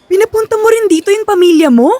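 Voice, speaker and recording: A woman's voice: very high-pitched, lively intonation, quick pace, darkish tone. A woman playing an anxious, doubting young girlfriend who speaks plaintively in a Filipino radio drama. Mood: nervous, pleading.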